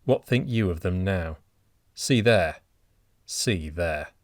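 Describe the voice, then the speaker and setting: A man doing a cold, vengeful gentleman. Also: on the low side, lively intonation, no room sound, clean studio sound, lightly acted. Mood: cold vengeance. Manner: slow, cold, deliberate. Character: cold vengeful middle-aged man, grim and unmoved.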